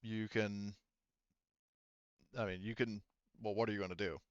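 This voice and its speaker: A man acting as game master for a tabletop game over voice chat, pausing often with ums as he works through the rules. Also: fairly low pitch, fairly even intonation, dry recording, dull audio. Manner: halting, many ums and restarts while narrating rules. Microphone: headset.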